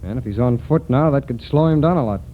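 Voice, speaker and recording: A man's voice: medium pitch, lively intonation, fast talker, lightly acted. An actor playing a businesslike federal lawman with an American accent and matter-of-fact delivery in a 1940s radio drama. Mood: alert, businesslike. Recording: muffled, noisy 1940s radio recording.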